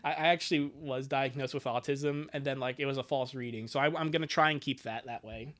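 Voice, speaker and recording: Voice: mid-range voice, fairly even intonation, brisk. Sarcastic, exasperated livestreamer reading fan fiction. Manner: sarcastic, exasperated, breaks into outbursts. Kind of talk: live comic reading with joking commentary.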